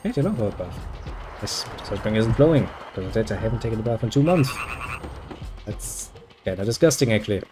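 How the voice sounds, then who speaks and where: Brief: man with a mellow, warm tone, reading game lines with deadpan sarcasm, faint game music behind. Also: on the low side, fairly quick. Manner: dry sarcastic asides. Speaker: gameplay video host. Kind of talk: reading game dialogue aloud with joking commentary.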